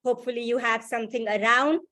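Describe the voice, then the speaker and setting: A woman facilitating an online workshop over a video call, speaking in a brisk, friendly way with many fillers. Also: fairly high pitch, fairly even intonation, sharp tone.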